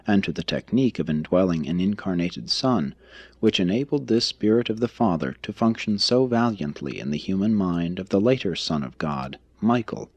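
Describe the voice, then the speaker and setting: Guy reading scripture type book out loud, formal and measured, real deep voice, dry close sound. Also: breathy edge, no echo. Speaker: audiobook reader. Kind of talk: scripted narration, reading a religious text aloud.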